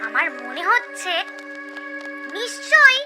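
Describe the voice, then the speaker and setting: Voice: very high voice, very animated, slow, heavily acted. A performer voicing an anxious young animal in a cartoon, worried and pleading. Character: anxious small animal, young nephew of a tiger. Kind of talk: cartoon voice acting. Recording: loud music behind the voice.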